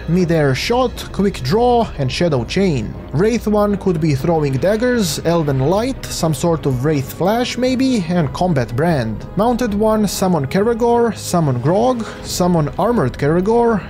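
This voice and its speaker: A man reads scripted commentary over game footage. His voice is high yet soft and mellow, and music plays quietly behind him. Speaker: gaming channel narrator.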